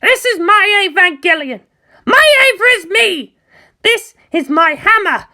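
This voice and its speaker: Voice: very high-pitched, very animated, unhurried, sharp tone, pitched above the performer's own voice, heavily acted. A performer voicing a brash, taunting teenage girl mech pilot in a storybook reading, combative and boastful. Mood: boastful, fierce.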